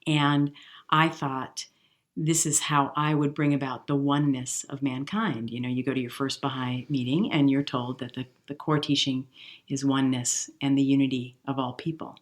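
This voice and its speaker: A woman's voice: rumbling low, fairly even intonation, moderate pace. A woman in her fifties from the US Midwest, a jazz singer telling her story in an interview, reflective and earnest. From Milwaukee, US Midwest. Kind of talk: conversational interview.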